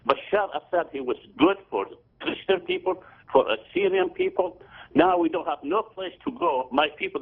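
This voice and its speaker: A man's voice: fairly high pitch, vocal fry. An older man from Syria calling in by phone, speaking broken English in an emphatic, repetitive way. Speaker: caller. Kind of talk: call-in phone comment. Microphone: telephone. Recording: tinny phone line.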